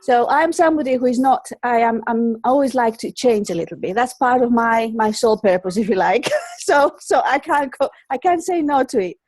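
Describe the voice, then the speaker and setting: A middle-aged woman with a Greek accent, a native Greek speaker, telling her own story as an interview guest in an expressive, wandering way with repeated words. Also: a little high, normal speed, dry recording. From Greece. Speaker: interview guest, colour psychologist and teacher.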